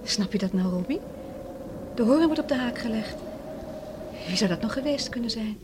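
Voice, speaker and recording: An actress playing an expressive woman in a radio drama, speaking in an exclamatory way. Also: fairly high pitch, normal speed, lightly acted. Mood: puzzled. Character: adult woman, animated, puzzled by late call. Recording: noisy old radio recording.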